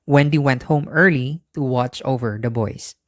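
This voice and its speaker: A storyteller reads a children's bedtime tale from a script at a middle pitch. The sound is dry and close, with no room around it. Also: normal speed, no room sound.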